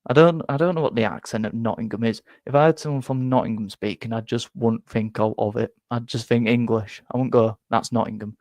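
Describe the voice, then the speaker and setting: Young Yorkshire bloke, slightly nasal, rambling live-stream chatter, mid pitch, dry close mic. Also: male voice, a little fast, few pauses, no echo. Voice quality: bit nasal, flat. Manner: rambling, self-deprecating, stumbles and repeats words. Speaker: football fan streamer reading chat. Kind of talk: casual live-stream chat.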